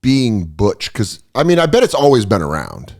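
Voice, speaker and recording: A man's voice: lowish voice, moderate pace. A man hosting a casual comedy podcast, joking around and often slipping into mocking voices. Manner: animated, jokey, slips into mocking voices. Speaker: podcast host and stand-up comedian.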